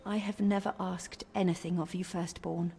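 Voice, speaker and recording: An actress playing an earnest, pleading younger sister of a noble family, reading formally and dramatically in a game cutscene. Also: female voice, lowish voice, steady tone, gravelly, dark tone. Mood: grieving, pleading. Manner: formal, imploring, measured. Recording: long reverb, faint music.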